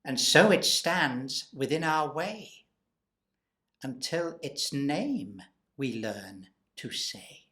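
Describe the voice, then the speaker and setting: A man voicing a wise old woman from a folktale, giving cryptic advice in a hushed, deliberate way. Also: medium pitch, animated, pitched below the performer's own voice, full character voice. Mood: knowing, mysterious. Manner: slow, hushed, deliberate, rhyming. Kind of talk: theatrical folktale storytelling.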